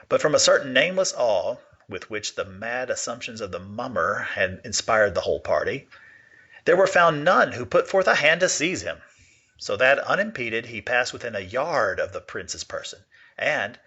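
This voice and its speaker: A man giving a dramatic reading of a classic story, with expressive, sweeping storytelling. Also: medium pitch, slightly rough. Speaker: reader. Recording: dull lo-fi recording.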